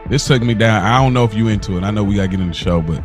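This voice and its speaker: A man's voice: lowish voice, racing, over music. A man co-hosting a podcast, chatting casually and conversationally. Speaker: podcast co-host. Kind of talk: casual chat.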